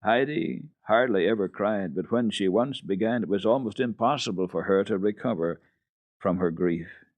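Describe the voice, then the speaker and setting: A man's voice: on the low side, moderate pace, dry recording, dull audio. A man narrating a children's classic as a radio storyteller, in a dramatic reading style.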